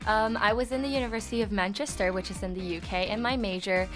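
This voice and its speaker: A young woman in her twenties with an American accent, speaking as a radio guest. She explains things clearly and often pauses on "um". Faint music sits behind her voice. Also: mid-range voice, steady tone. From Taiwan. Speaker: guest, interpreter.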